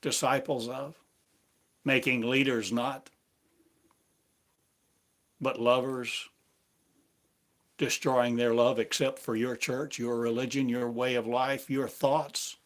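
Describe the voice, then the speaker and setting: A man preaching a prophetic sermon, speaking slowly and solemnly in the voice of God, with long pauses between phrases. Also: mid-range voice, little pitch movement.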